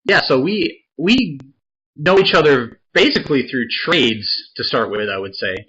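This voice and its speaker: Bright-toned man on a lo-fi podcast. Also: medium pitch, expressive, unhurried, slightly rough. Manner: laid-back, laughs often, short backchannel replies. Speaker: podcast co-host. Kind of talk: casual chat.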